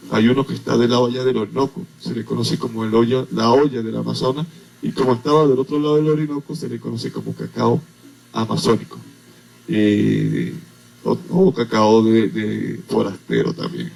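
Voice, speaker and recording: A man speaks as a guest on a radio program. His voice is heavily gravelly and creaky, sitting in an ordinary middle pitch. He explains at an unhurried pace, pausing often with fillers. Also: warm tone, low-quality recording. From Venezuela. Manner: explanatory, unhurried with many hesitations. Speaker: agronomist guest. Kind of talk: radio interview.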